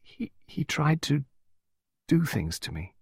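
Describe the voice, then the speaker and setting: A high-pitched, distraught young girl from a storybook , acted out by a man, pleading and desperate. The voice swings wildly, close to sobbing, with a husky edge. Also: very animated, slow, a little mellow, no room sound, heavily acted. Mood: halting, distressed. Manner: crying, shrill outbursts.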